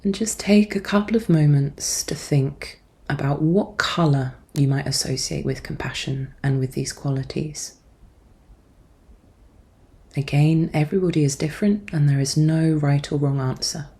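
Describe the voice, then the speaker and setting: A woman speaks in a deep, relaxed voice with a dark, warm tone. She guides a meditation as a therapist would, gently and soothingly. Her delivery is measured and calm, giving each instruction softly.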